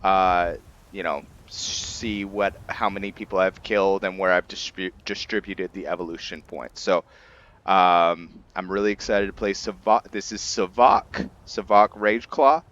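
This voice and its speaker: A man hosting a gaming video, giving casual, halting commentary in an informal, rambling way. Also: deep voice, fairly even intonation, a bit bright, lo-fi sound. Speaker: gaming channel host. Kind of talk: casual gameplay commentary introducing a roleplay character.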